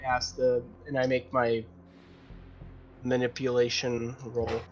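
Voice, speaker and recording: A man's voice: mid-range voice, monotone, slow pace, highs cut off, faint music behind. A man playing a tabletop game, bantering casually and protesting in character.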